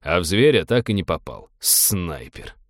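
A man's voice: low voice, very animated, unhurried, dry recording, studio quality. A man voicing a mocking, sardonic pilot with mock-profound delivery in an audiobook. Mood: muttered sarcasm.

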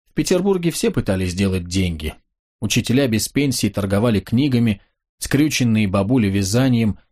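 A man narrating a novel as an audiobook in a steady, measured, wry reading. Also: mid-range voice.

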